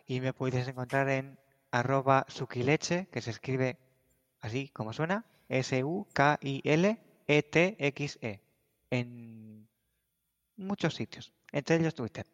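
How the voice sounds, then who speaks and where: Man from Navarra, slow, careful, lo-fi reverberant audio. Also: medium pitch. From Navarra, Spain. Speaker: podcast contributor. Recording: dull, reverberant remote audio.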